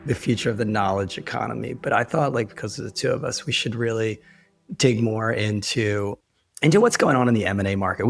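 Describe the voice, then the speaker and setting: A hoarse man who is losing his voice, talking casually and wryly as a podcast host in a rambling, informal way. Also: fairly low pitch, no room sound. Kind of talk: casual conversational podcast talk.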